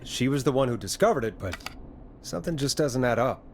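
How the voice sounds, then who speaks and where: An actor playing a smooth-talking, gentle radio DJ in a video game, with a reassuring, playful way of talking. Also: male voice, medium pitch, expressive, brisk, slight background noise, lightly acted. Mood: concerned, thoughtful.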